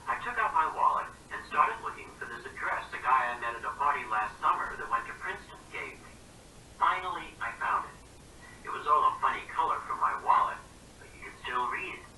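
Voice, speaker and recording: A man reading a first-person novel aloud in a conversational, expressive way. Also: mid-range voice, a little fast. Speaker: reader. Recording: roomy, distant mic, faint hiss.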